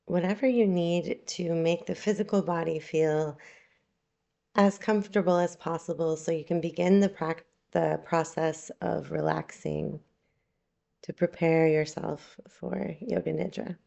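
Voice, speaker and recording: A woman leading a guided relaxation meditation as a yoga nidra guide, calm, soothing and unhurried, with long pauses. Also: low voice, gravelly, lo-fi sound.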